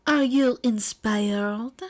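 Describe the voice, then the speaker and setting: Flamboyant teen boy female reader, high, theatrical, grandiose love guru. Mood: grand, confident. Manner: theatrical, grandiose, drawn-out flourishes. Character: flamboyant teenage French boy, self-styled love expert.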